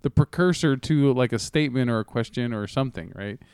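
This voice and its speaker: A man's voice: mid-range voice, fairly quick, a little mellow, clean tone, no echo, studio quality. A man hosting a casual movie review show, joking around with mock-preacher flourishes and swearing freely. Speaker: podcast host.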